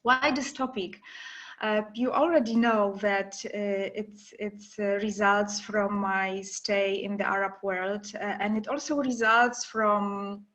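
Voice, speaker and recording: A woman with a Polish accent presents to an online audience in a halting, hesitant way, often repeating words. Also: mid-range voice, fairly even intonation, a bit bright. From Poland. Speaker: webinar presenter, trainer and academic teacher. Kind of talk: informal lecture to an online audience. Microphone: webinar call. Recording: dull lo-fi online call audio.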